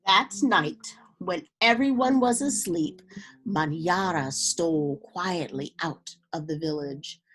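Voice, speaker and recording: A woman reading a children's story aloud in an expressive, dramatic way with unhurried phrasing. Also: lowish voice, slight background noise, poor audio. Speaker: storybook reader.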